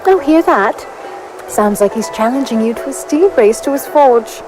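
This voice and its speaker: A woman's voice: high-pitched, animated, moderate pace, rough recording. An actress playing a playful, teasing warrior lady in a fantasy audio drama. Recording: music behind the voice.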